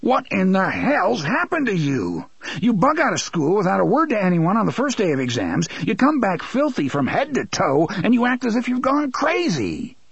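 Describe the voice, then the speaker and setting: Snarly bully dad yelling at his kid, husky guy voicing him, dull dry sound. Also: on the high side, wildly swooping pitch, a little slow, dry recording, pitched above the performer's own voice, heavily acted. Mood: baffled, loud. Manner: snarling, profane. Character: blustering bullying middle-aged father.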